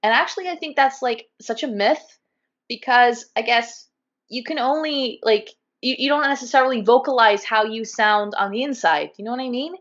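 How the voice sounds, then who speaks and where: A woman with a Californian accent chatting in an animated, casual way, full of fillers like "um" and "like," over a video call. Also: fairly high pitch, normal speed. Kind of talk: casual conversation. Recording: muffled video call audio.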